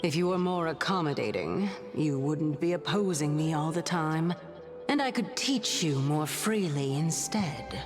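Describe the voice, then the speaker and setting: Game role: sly ancient goddess of reason. Deep, unhurried, haughty and teasing, music underneath. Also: female voice, lively intonation. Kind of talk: scripted video game dialogue.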